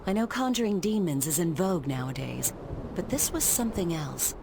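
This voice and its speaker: A woman's voice: lowish voice, sing-song, a little fast. An actress playing a poised, wry noble lady and martial arts master in a video game, composed and formal. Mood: composed, gracious. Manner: composed, formal, dryly witty. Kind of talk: scripted video game cutscene acting. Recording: clear background noise behind the voice.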